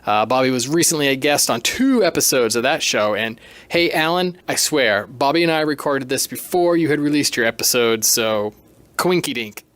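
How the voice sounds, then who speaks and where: A man's voice: mid-range voice, lively intonation, normal speed, a bit bright, no room sound. A man hosting a podcast solo, talking in a casual, chatty, self-deprecating and emphatic way. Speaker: podcast host.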